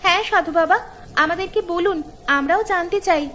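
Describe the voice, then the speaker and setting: A woman voicing a kind, curious young village girl in a cartoon, earnest and expressive. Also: extremely high pitch, fairly quick, slight background noise. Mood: concerned. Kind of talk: cartoon storytelling voice acting.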